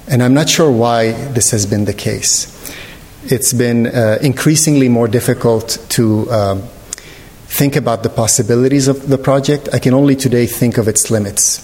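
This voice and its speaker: A man's voice: medium pitch, a little mellow. Reflective man lecturing in a reverberant hall. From Lebanon. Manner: hesitant, searching, measured phrasing with careful formal wording. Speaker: visual artist giving a lecture. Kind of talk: reflective public artist talk. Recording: echoey hall with long reverb.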